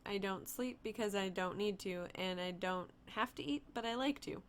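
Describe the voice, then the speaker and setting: Woman reading a calm young vampire woman. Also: medium pitch, moderate pace, slight background noise, lightly acted. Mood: explaining. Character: young female vampire, calm and self-assured.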